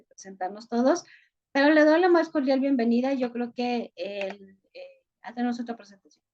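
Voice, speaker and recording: Mexican woman teacher, chatty, filler-heavy, slightly high voice heard through a dull video-call line. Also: a little mellow. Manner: chatty, frequent "este" fillers. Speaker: online course teacher. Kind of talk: online class, reading chat introductions. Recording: muffled lo-fi video-call audio.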